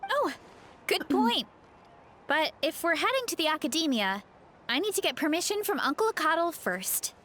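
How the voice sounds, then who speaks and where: A woman's voice: very high-pitched, big pitch swings, moderate pace, a bit bright, heavily acted. A woman voicing a cheerful, hungry young heroine in a game, friendly and a little hesitant. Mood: warm.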